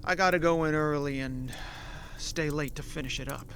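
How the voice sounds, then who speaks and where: A man playing an awkward, frustrated office worker in his late twenties in an audio drama, with halting, stammering speech. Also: fairly high pitch, fairly bright tone, slight background noise. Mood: weary, downcast. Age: late 20s.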